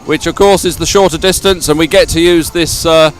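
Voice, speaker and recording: A man with a slightly high voice hosts live coverage of an outdoor sporting event. He is effusive, often doubles up his words, and speaks in an improvised presenting style in the open air. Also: steady tone, a little fast, faint music behind. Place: outdoors at a regatta stadium.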